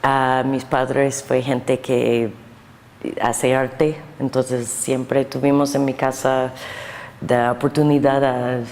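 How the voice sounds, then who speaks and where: Older American woman, English-accented, low and unhurried, reverberant room. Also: flat delivery, a little mellow. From United States. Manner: halting, mixes Spanish and English. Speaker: guest artist. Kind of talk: interview answers. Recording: long reverb, faint noise.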